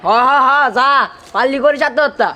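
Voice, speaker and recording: Man playing a scornful, high-voiced bike owner. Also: brisk, pushed and bright. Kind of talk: comedic village drama acting.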